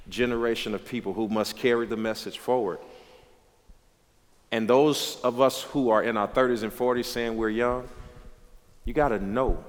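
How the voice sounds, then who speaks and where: A pastor in his late thirties speaks with a low voice and an emphatic, preacherly delivery. The sound rings with a long reverb. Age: 30s. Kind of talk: conversational dialogue, preaching.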